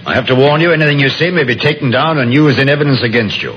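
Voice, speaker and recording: A man's voice: mid-range voice, racing, dull audio, lightly acted. An actor playing a firm, businesslike policeman in a radio drama, formal and official, on an old recording. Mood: stern. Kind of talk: 1950s radio drama acting.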